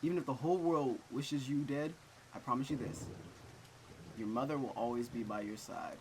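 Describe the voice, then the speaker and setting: A man's voice: medium pitch, normal speed, background noise, lightly acted. A man voicing a harsh, possessive mother in a visual novel, speaking to a daughter. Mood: smothering, reassuring. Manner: scornful insults turning to smothering devotion.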